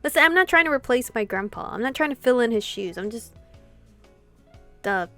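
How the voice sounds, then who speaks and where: A woman streamer reading game dialogue aloud and reacting with casual, expressive commentary. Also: a little high, brisk. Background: game music.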